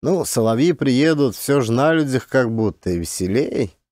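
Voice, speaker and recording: Audiobook part: blunt rustic idler, acted by a man, folksy grumbler with very lively intonation. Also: medium pitch, normal speed, no room sound, clean studio sound, natural voice. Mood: grumbling, self-justifying. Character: beardless round-faced peasant man, brash idle forest-post teaser.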